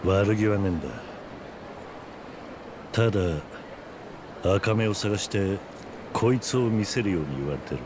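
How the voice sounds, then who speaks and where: Man acting a deep-voiced, stoic tough guy. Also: measured pace, long pauses, background noise, close to an everyday voice. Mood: guarded, probing. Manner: terse, restrained, gruff. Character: stoic, terse, polite but tough middle-aged male fighter. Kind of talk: video game drama acting.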